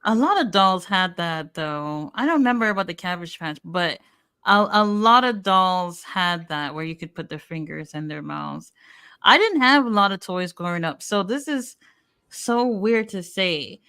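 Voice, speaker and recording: A woman's voice: fairly low pitch, expressive, a little slow, pushed and bright, clear, resonant tone. A woman in her thirties, born in America with Haitian Creole as her first language, chatting candidly as a show host. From United States. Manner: candid, chatty storytelling with frequent fillers. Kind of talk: casual chat.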